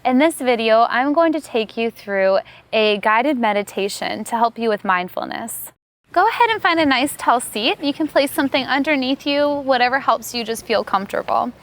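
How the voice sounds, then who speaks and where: A woman yoga teacher leading a guided meditation, giving calm, unhurried, soothing instructions. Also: a little high.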